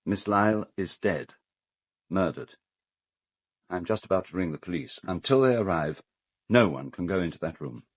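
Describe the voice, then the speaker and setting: Low-voiced, fussy English gentleman. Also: lively intonation, normal speed, long pauses, breathy edge, exaggerated voice. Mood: shaken, grave. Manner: hesitant, formal, well-spoken. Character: fussy, courteous gentleman host of an island castle. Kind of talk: British radio drama acting.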